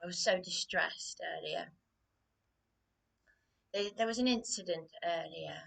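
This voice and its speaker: A woman vlogging alone, hoarse and losing her voice, speaking hesitantly and haltingly with many restarts, often dropping to a whisper. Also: lowish voice, fairly bright tone. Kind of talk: personal vlog monologue.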